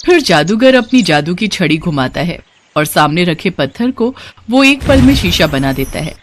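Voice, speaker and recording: A woman's voice: on the low side, very animated, normal speed. A woman storyteller reading a scripted moral tale for children as the narrator.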